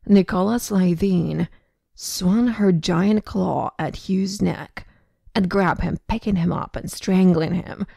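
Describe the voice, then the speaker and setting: Woman reading story narration, lowish creaky voice, mellow. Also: takes it slowly, no room sound.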